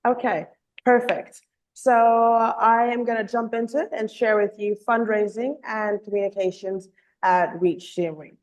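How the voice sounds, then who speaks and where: A woman giving an earnest online presentation as a communications officer, slightly hoarse from a cold, with frequent ums and uhs. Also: a little high, fairly even intonation, a little slow, poor audio. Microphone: video call.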